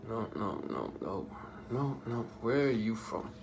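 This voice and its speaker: A man's voice: lowish voice, very animated, slow, creaky, heavy background noise. A young man from the Bahamas answering viewer questions in a casual, joking, slang-filled vlog chat. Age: young adult. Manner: laid-back, joking, streetwise slang.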